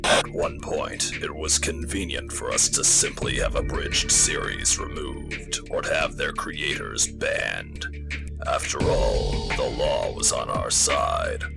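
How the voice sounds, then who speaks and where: A man playing a cold, smug artificial intelligence posing as a military colonel in a game parody, talking in a measured, condescending, gravelly way. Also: a bass voice, expressive, loud music behind the voice, exaggerated voice. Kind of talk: scripted game parody dub.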